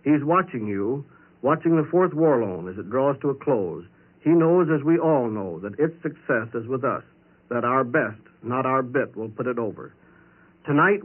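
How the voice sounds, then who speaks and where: An American man reads a patriotic wartime address as a government official. His voice is medium in pitch, and the old radio relay is thin and tinny.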